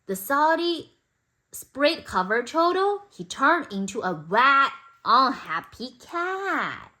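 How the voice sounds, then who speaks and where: A woman reading a storybook aloud expressively for toddlers, in simple deliberate phrases. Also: high voice, big pitch swings, pushed and bright. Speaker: storybook reader.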